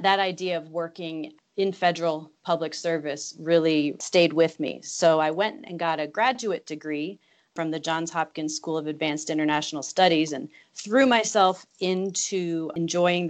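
A woman in her late thirties with a notably low voice gives interview answers. She speaks thoughtfully, often restarting and repeating words as she works out her phrasing. She is from Virginia. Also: fairly even intonation, measured pace. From Virginia, United States. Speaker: guest, government attaché.

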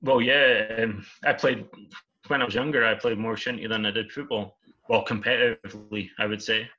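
A Scottish man from Scotland, a guest chatting in a relaxed, rambling, easygoing way over a dull remote call. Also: fairly low pitch, normal speed. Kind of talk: casual interview chat.

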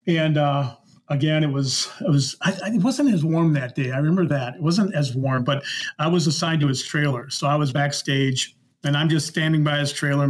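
A middle-aged man with an American Midwestern accent, casually telling anecdotes in a rambling, good-humoured way as a podcast guest. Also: on the high side. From Minnesota, United States. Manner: rambling, good-humoured, acts out reported dialogue. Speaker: podcast guest, former concert security worker.

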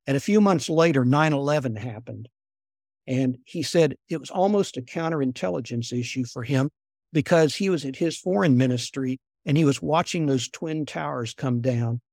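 An older man, a former intelligence officer, telling stories in a soft, unhurried voice as an interview guest. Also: mid-range voice, gravelly, no room sound, clean studio sound. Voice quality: soft-spoken. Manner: unhurried, repeats words when restarting. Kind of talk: conversational storytelling.

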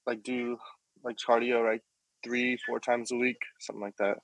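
A man as a podcast guest, giving short soft-spoken answers with lots of "like". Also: mid-range voice, little pitch movement, normal speed, no echo, rough recording. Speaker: podcast guest, grappling athlete. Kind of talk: casual podcast chat.

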